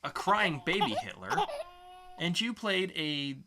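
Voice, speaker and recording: A man's voice: a little high, big pitch swings, unhurried, very noisy. A man hosting a web show segment in a casual, jokey presenting style. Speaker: segment host.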